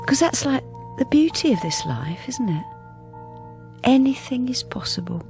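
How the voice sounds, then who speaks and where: A woman acting in a radio drama as a middle-aged English wife, wistful and quietly defiant, halting and often dropping to a whisper. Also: sits high, big pitch swings, music in the background, heavily acted. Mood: dreamy, elated. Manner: halting, repeats phrases, often drops to a whisper. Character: weary long-married wife newly wistful and quietly defiant. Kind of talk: radio drama acting, intimate dialogue and narration.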